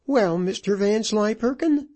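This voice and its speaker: A man voicing a brash, sharp-tongued widow, mocking and indignant, in a dramatised storybook reading. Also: high-pitched, very animated, moderate pace, vocal fry, slightly rough, voice pushed up, exaggerated voice. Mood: cool disdain. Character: brash sharp-tongued middle-aged widow, scornful and scolding. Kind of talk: dramatised audiobook character voice.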